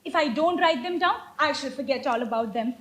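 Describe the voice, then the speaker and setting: A woman's voice: sits high, fairly quick, close to an everyday voice. A woman playing a romantic, pertly innocent young Victorian ward in a stage comedy, with an ingenuous, theatrical manner. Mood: dreamy, playful. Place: live stage play. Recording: echoey stage play recording.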